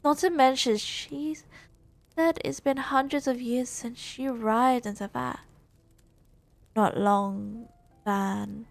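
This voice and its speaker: A woman live-streaming a game playthrough, musing aloud in a halting, reflective way. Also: fairly high pitch, steady tone, vocal fry.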